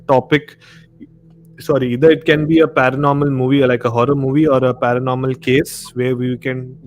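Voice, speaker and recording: A man's voice: medium pitch, a little mellow. A man with an Indian accent co-hosting a casual film discussion on a live stream, conversational and repeating himself for emphasis.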